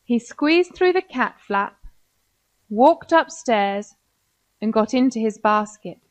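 A woman's voice: a little high, animated, slow, no echo. A woman with an American accent narrating a scripted children's story.

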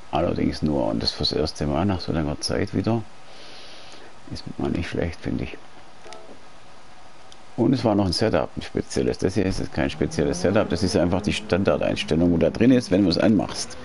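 A very deep-voiced man in his late forties talks loosely and at length, using slang and restarting his sentences. He is streaming from home, and loud race-car engine sounds from the game run under his voice. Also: normal speed, creaky, pauses often. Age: 40s. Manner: relaxed, rambling, talkative with slang and restarts. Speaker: sim racing streamer. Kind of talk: casual chat while gaming. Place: indoors at home. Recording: racing game engine noise behind.

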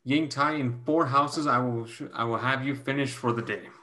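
A man reading the part of a gentle young Chinese mathematician and tutor in a staged play reading, a little haltingly. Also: mid-range voice, close to an everyday voice. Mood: instructing. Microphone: video call.

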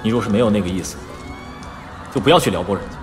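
An actor playing a cold, reserved young noble cultivator, speaking in terse, formal, stern lines in a live stage audio drama. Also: male voice, on the low side, racing, natural voice. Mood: cold, reproachful. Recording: live stage, echoey hall, music behind.

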